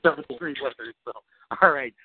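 A man's voice: mid-range voice, racing, a crowd in the background. A man from Wisconsin chatting casually in a folksy way, filling his talk with "you know". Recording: dry narrow phone line.

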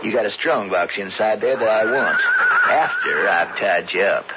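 An actor playing a scheming older brother turned stage robber in a radio western, with a folksy, persuasive American Western drawl. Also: male voice, lowish voice, big pitch swings, normal speed. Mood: menacing. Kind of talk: radio western drama acting. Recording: muffled, noisy old radio broadcast.

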